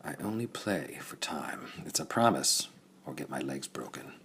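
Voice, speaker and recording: A man voicing a big, defiant, sardonic pirate captain in an audiobook, blunt and terse, with a husky voice. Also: extremely low pitch, lively intonation, normal speed, fairly bright tone, in a whisper, heavily acted. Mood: grim, hushed.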